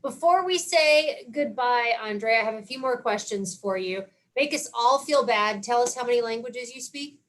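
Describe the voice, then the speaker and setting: A woman's voice: fairly high pitch, moderate pace, few pauses, fairly bright tone. A woman hosting an interview, playfully teasing her guest before wrapping up. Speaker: interview host. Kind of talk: casual interview.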